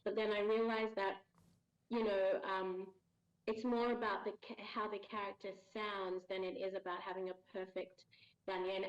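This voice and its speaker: Woman, 30s, interview guest; mid pitch, thoughtful with lots of fillers, muffled call audio. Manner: thoughtful, hesitant, many fillers and restarts. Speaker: voice actress guest. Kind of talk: casual interview answers.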